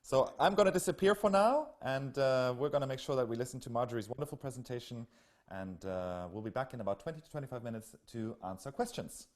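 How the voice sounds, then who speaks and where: A man's voice: medium pitch, lively intonation, fast. A man hosting and moderating an online event informally, speaking over a video call. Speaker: festival host.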